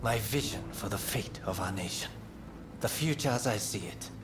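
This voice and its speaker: A man's voice: expressive, moderate pace, music in the background, close to an everyday voice. A man voicing a grave, principled revolutionary teacher in a video game cutscene, speaking formally like an orator. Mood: fervent, resigned. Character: solemn, principled revolutionary teacher resigned to martyrdom. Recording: faint rumbling background noise.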